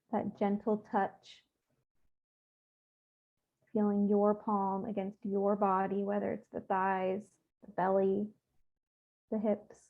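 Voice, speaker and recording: A woman leading a guided meditation, speaking gently and without hurry, with soothing instructions and pauses. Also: mid-range voice. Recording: thin, phone-like narrow-band audio.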